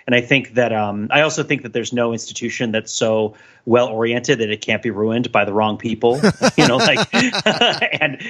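A man co-hosting a podcast, chatting casually and with opinions, in an animated, rambling and emphatic way full of asides. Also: mid-range voice, gravelly, dull audio. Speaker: podcast co-host. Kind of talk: casual opinionated panel chat.

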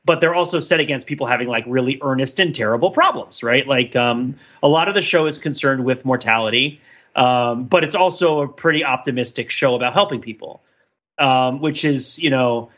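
A man with a bright, forceful voice talks casually as a podcast co-host. He circles around his points, backing up and rephrasing as he goes. The recording is a little dull and lo-fi. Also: mid-range voice. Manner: halting, digressive, self-correcting. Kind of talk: casual pop culture discussion.

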